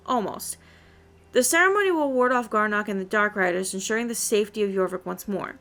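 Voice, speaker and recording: A woman reading the part of a solemn hooded druid sage with formal, measured wording. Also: medium pitch, a bit bright, lightly acted.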